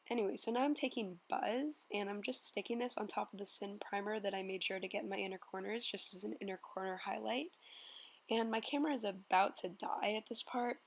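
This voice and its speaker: A young woman with a slightly hoarse voice from a cold, chatting her way through a makeup tutorial voice-over with plenty of ums. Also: mid-range voice. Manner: chatty, relaxed, many ums. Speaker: beauty vlogger.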